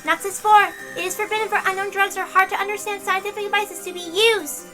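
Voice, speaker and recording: Woman performing a stern female inquisitor, formal, rapid declarations, extremely high voice, unyielding, visual novel drama. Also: steady tone. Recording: loud music behind the voice.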